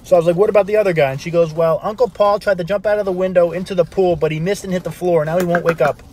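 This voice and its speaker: A man's voice: high-pitched, very fast, slight background noise. A man casually telling a comedic anecdote and acting out the dialogue as he goes. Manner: animated retelling, acting out dialogue.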